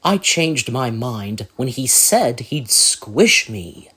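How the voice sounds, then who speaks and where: A man playing a small, cowardly, easily frightened railway engine in a fan comic dub, with a husky voice. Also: medium pitch, big pitch swings, takes it slowly, a bit bright, exaggerated voice. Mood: panicked.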